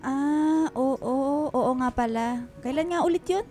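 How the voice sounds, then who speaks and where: Higher-voiced, distracted working mother. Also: takes it slowly, mellow, soft background music. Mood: flustered, evasive. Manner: hesitant, stammering, placating. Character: busy, distracted working mother, evasive but placating. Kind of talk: radio drama acting.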